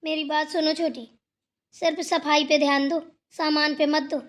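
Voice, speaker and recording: Woman voicing a high-voiced, firm elder sister-in-law. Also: little pitch movement, moderate pace, natural voice. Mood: cautioning. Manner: firm, lecturing, admonishing. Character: sensible, scolding elder sister-in-law in a family cartoon. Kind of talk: cartoon family drama dialogue.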